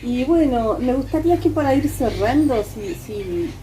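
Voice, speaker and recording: A woman's voice: a little high, expressive, a little slow, mellow, poor audio. A woman with an Argentine accent, hosting an informal conversational interview with short encouraging interjections. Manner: frequent short listening interjections. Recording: faint background noise, ambient room sound.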